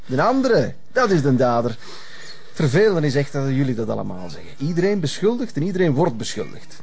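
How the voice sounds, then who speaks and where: An actor playing a sardonic, menacing avenger with a husky Flemish voice and a mocking, taunting manner. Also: male voice, mid-range voice, big pitch swings, brisk, a little mellow, exaggerated voice. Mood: bitter, accusing. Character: menacing, sardonic male avenger holding a gunman's upper hand. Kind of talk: Flemish television crime drama acting.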